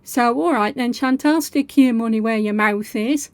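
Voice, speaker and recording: A woman's voice: on the high side, moderate pace, no echo. A woman hosting reaction commentary, talking in a sarcastic, scolding way. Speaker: commentary host.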